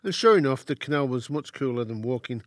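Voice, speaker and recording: A man's voice: mid-range voice, lively intonation, fast talker, dry recording, studio quality, natural voice. A man playing the narrator of an audio drama, wryly recalling a boyhood escapade in scripted first-person storytelling. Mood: wry, reminiscent.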